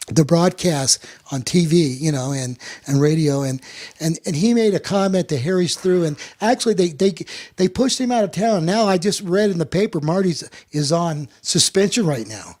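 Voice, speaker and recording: A man's voice: a little high, expressive, moderate pace, darkish tone. A man hosting a sports talk show, telling anecdotes in casual chat and often repeating words as he goes.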